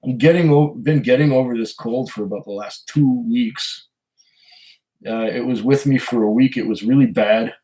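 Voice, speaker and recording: A man with a hoarse voice from a cold, hosting a casual live stream, reading viewer comments and chatting in a rambling, hesitant way. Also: medium pitch, normal speed, mellow, poor audio. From Canada. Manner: rambling, hesitant, chuckles often. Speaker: whiskey channel host reading live chat.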